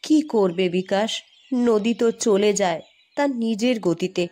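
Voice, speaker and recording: A woman's voice: medium pitch, lively intonation, unhurried, warm tone, lightly acted. A woman voicing a worried, middle-aged farmer's wife in a cartoon story, urging her husband anxiously. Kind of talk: Bengali cartoon story acting.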